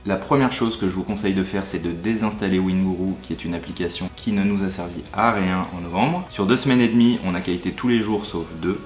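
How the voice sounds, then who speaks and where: A man's voice: deep voice, fairly quick, few pauses. A man giving upbeat, chatty travel advice in a vlog voice-over. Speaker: travel vlogger. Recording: roomy sound over background music.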